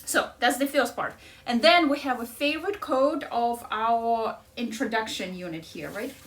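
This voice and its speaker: A middle-aged woman with a noticeable Ukrainian accent and a voice pitched a little high. She talks through a book in a reflective, coaching way and keeps checking in with her listeners. Her tone stays encouraging throughout. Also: moderate pace, fairly bright tone, recorded from a distance. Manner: encouraging, coaching tone with frequent "right?" asides. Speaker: life coach and podcast host.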